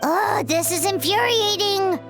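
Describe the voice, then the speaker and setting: A woman voicing a small, childlike, excitable fairy companion in an anime game, squeaky and quick to exclaim. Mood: alarmed, exasperated. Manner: exclaiming, refers to self in third person. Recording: music behind the voice.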